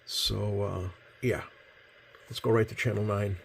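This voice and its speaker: A man's voice: lowish voice, fairly even intonation, a little slow. A man giving a casual, hands-on demonstration as he hosts at a radio workbench. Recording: clear background noise.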